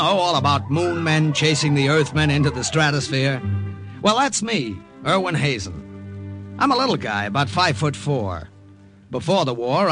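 A man plays a wisecracking young sergeant who draws cartoons, narrating his own wartime tale with a lively, bouncing delivery. The sound is an old radio broadcast, with faint music running underneath. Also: on the high side, natural voice. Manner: breezy, jokey storyteller. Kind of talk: 1940s radio acting.

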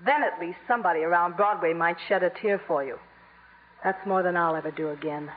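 Disillusioned male Broadway type played by an actor, reproachful, high-pitched, old radio drama with roomy sound. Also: brisk, narrow, tinny audio, natural voice. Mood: bitter. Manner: reproachful, cutting. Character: bitter, disillusioned Broadway companion, scolding and sardonic. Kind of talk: 1950s radio drama acting. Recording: thin, echoing, faintly hissy vintage radio recording.

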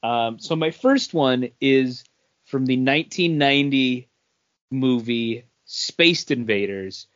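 A man's voice: mid-range voice, slow, fairly bright tone, no echo, highs cut off. A man co-hosting a podcast in casual, conversational chat. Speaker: podcast co-host.

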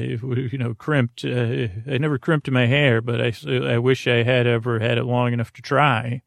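A male podcast host delivers a meandering bedtime monologue meant to lull listeners to sleep. His medium-pitched voice is full of gravelly fry, and he rambles haltingly with a self-deprecating bent. The sound is very dry, with little room on it. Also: no echo. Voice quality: gravelly, creaky.

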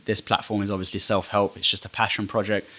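A man's voice: fairly low pitch, flat delivery, fast talker, sharp tone. A man hosting a podcast, talking candidly and with strong opinions, often restarting his sentences. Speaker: podcast host. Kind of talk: casual interview chat.

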